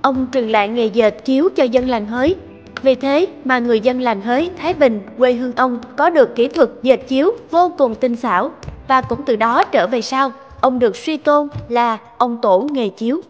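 A woman's voice: sits high, moderate pace, lo-fi sound, faint music behind. A woman narrator reading a scripted story.

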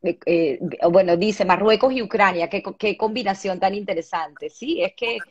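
A middle-aged woman hosting an interview over a video call, asking questions in a relaxed way and reading viewer comments aloud. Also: medium pitch, moderate pace, slightly rough. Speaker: interviewer host. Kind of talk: casual interview. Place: home room. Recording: dull video-call audio.

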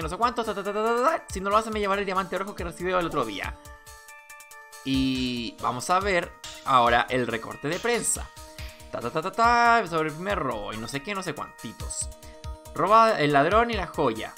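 A woman's voice: fairly low pitch, bright, forceful tone. A woman with a Chilean accent giving casual gaming commentary in an exasperated, sardonic way, swearing freely. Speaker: let's player reading game dialogue aloud. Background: video game music.

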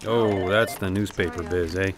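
An actor playing an easygoing newspaper colleague in an audio drama. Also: male voice, low-pitched, steady tone, moderate pace, close to an everyday voice. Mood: wry. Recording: busy office chatter behind.

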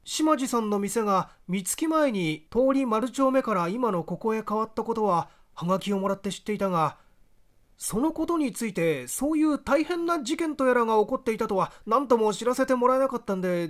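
Man acting blunt high-pitched country fellow. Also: sing-song, normal speed. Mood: surprised, questioning. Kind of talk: acted audiobook dialogue.

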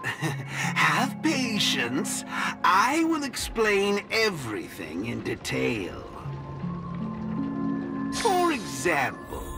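An actor voicing a cryptic monkey robot guide in a video game, speaking in a courteous, drawn-out, theatrical way. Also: medium pitch, exaggerated voice.

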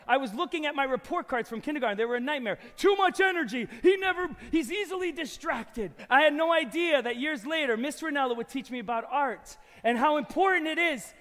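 A man performing a live family magic show, coaxing volunteers and the audience with lively energy in a large hall. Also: very high voice. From New York. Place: large old church concert hall with audience. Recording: loud background music in a hall.

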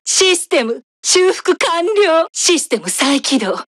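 A woman's voice: extremely high pitch, big pitch swings, takes it slowly, fairly bright tone, no echo, studio quality, exaggerated voice. A woman playing an imperious, megalomaniac commander of a secret satellite superweapon in a video game, with a haughty, theatrical, commanding delivery. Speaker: military satellite weapon operator. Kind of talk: dramatic Japanese video game voice acting.

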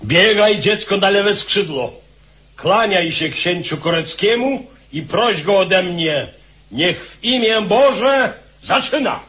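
An actor playing a commanding, urgent military leader giving orders in a radio play. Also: male voice, sits high, animated, slow pace, sharp tone, full character voice. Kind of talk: radio drama acting.

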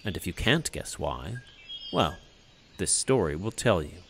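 A man narrating a children's story in an expressive, theatrical way. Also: low voice, a little slow, creaky, a little hiss or hum. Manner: expressive, dramatic delivery. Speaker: storyteller, puppet show narrator.

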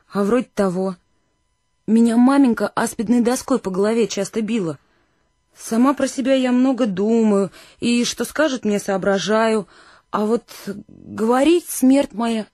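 An actress playing a shy, simple young village woman in a radio play, meek and halting in her speech. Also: medium pitch, dark tone, no echo, studio quality, lightly acted.

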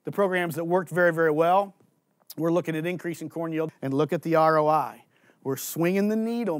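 A man in his forties, a farm agronomist, giving an energetic conference presentation on a studio stage through a headset mic, asking and answering his own questions. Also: fairly high pitch, no echo. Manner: gestures and walks on stage while talking. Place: indoor studio stage with green curtains.

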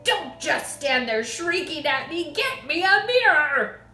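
A woman voicing a blustering, bad-tempered middle-aged father who yells with indignant, bullying outrage. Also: on the high side, lively intonation, slow pace, roomy sound, faint music behind. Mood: indignant yelling.

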